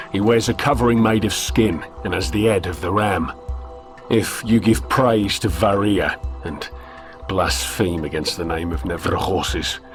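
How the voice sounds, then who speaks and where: An actor with an African accent playing a solemn, sorrowful, devout man in a video game, speaking gravely and formally. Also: deep voice. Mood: grave, reverent. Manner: grave, measured, formal speech. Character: solemn, sorrowful devout stranger grieving his enslaved brother. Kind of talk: video game character acting.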